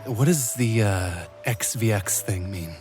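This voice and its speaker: A man voicing a wary young hunter in an anime parody, with a deadpan, sardonic manner. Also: lowish voice, a little slow, soft background music, close to an everyday voice. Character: dry, wary young male hunter, sarcastic straight man. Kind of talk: comedic anime parody voice acting.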